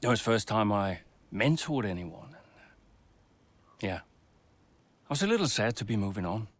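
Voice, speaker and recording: A man playing an earnest racing team principal and mentor, speaking in a measured, thoughtful, hesitant way. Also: on the low side, lively intonation. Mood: reflective. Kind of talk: documentary interview and speech.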